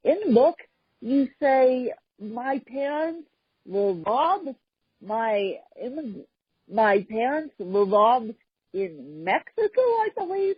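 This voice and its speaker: Slow, husky-voiced woman host. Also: fairly high pitch, big pitch swings, dry recording. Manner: halting, with frequent pauses and fillers. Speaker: podcast host. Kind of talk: casual conversational podcast chat. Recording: dull, dry sound.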